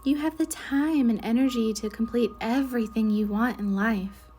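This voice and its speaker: A woman leading a guided meditation in a soothing, measured way, with soft breathy endings to her phrases. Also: a little high, slight background noise. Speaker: guided meditation leader, Reiki instructor. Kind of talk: slow guided meditation narration.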